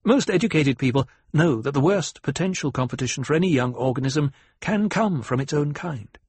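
A male narrator plays a young nobleman in a science fiction novel. He is precocious and coolly challenging, with a lifted pitch. His phrasing is brittle and pointed, like a practised debater. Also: animated, fairly quick, darkish tone, husky, dry recording. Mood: brittle, assured.

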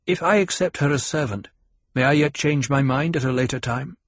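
A man's voice: mid-range voice, fairly quick, breathy edge, dry recording, pitched above the performer's own voice. A man voicing an earnest, self-possessed young man new to a tribe, careful and questioning, in an audiobook. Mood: wary.

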